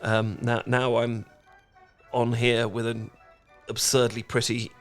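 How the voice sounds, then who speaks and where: A man's voice: medium pitch, flat delivery, soft background music, natural voice. Man acting a stammering, flustered British dork. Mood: flustered, bashful. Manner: stammering, flustered, halting, apologetic. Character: awkward, anxious, smitten British male dork. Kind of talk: ASMR romantic roleplay acting.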